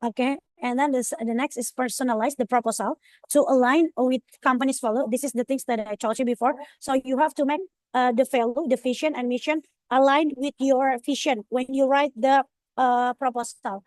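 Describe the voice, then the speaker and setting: A woman's voice: sits high, steady tone, normal speed, darkish tone, no echo. A young woman from Indonesia with an Indonesian accent, giving an online orientation presentation with many fillers and restarts. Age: young adult.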